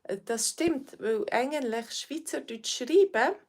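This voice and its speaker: A woman's voice: sits high, unhurried, darkish tone. A Swiss woman with a Swiss accent, a language teacher answering viewers' questions on a livestream, friendly and hesitant, with frequent fillers. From Switzerland.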